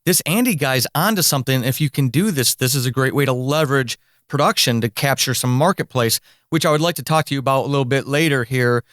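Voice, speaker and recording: A man's voice: medium pitch, quick pace, a bit bright, no room sound, clean studio sound. A man hosting a conversational interview podcast, thinking aloud and rephrasing as he goes. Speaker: podcast host.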